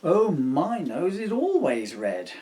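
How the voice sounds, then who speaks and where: A man's voice: a little high, huge pitch swings, slow, warm tone, distant mic, pitched above the performer's own voice, heavily acted. A man voicing an anxious, self-blaming reindeer in a children's storybook, with fretful, exclaiming delivery. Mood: grateful, pleading.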